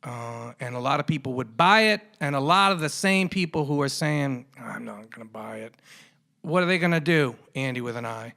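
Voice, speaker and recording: A man's voice: medium pitch, animated, normal speed, gravelly, pushed and bright. A man hosting a sports podcast, giving commentary in a punchy, colloquial way with showy flourishes. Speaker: podcast host.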